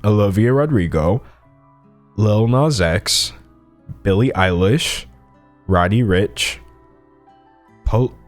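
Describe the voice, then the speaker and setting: Low-voiced, very animated young man. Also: soft background music. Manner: self-mocking, dramatic pauses and emphasis. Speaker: online video host. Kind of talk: casual comedic commentary with sponsor read.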